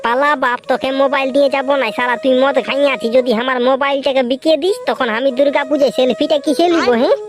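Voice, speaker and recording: A woman's voice: high voice, fairly even intonation, fast, hardly any pauses, music in the background, lightly acted. A woman voicing a brash, foul-mouthed young village man in a comic cartoon, with a rustic Purulia Bengali accent. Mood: brash, scolding. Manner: rustic, blunt, teasing.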